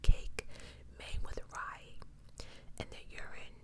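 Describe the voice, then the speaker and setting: A woman whispering softly and breathily as an ASMR host, reading aloud with informal, incredulous asides. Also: takes it slowly. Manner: informal, incredulous commentary between read passages. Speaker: host of true crime ASMR channel.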